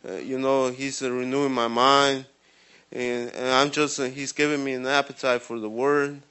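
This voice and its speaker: Smooth-voiced young man, flat delivery. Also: medium pitch. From Guatemala. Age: 20s. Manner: halting, many fillers and restarts. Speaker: ministry program resident giving testimony. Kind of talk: personal testimony.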